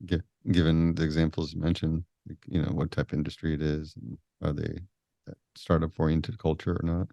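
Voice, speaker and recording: A man hosting a podcast interview, relaxed and joking in a casual way. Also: extremely low pitch, fairly quick, gravelly, dry recording. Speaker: podcast host.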